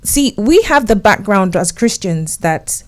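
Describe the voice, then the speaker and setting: Woman, relationship consultant guest; earnest storyteller, middle-pitched. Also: animated, unhurried. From England. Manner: earnest, tells stories, acts out her husband's lines. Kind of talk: casual conversational interview.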